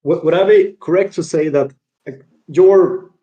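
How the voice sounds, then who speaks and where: A man's voice: a little high, unhurried, warm tone, echoey room, muffled recording. A man hosting a casual online interview, asking his guest questions and reading questions from the audience. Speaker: host.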